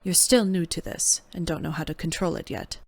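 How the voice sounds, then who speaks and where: A woman in a fan dub playing a composed, authoritative mentor who explains things in a measured way. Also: lowish voice, husky, no echo, studio quality. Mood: calm, explaining. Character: composed, authoritative adult female mentor, patient and stern.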